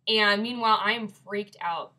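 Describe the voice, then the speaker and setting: A woman's voice: mid-range voice, normal speed, bright, forceful tone. A young woman with a congested, stuffy voice, chatting casually and rambling with lots of fillers as she tells a ghost story to a webcam. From Michigan. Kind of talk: casual video submission.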